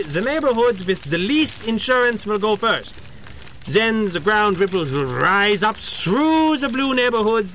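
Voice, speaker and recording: Pompous expert scientist: high-pitched, sharp, lecturing in comic radio play, faint noise behind. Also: male voice, low-quality recording. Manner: wordy mock-scholarly lecturing. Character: pedantic, pompous scientist expert briefing a crisis meeting. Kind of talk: comedic audio drama acting.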